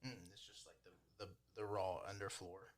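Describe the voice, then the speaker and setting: A man with a fairly low voice runs a tabletop role-playing game. He narrates scenes off the cuff, describing things in informal, everyday language. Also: level tone, normal speed. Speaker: game master.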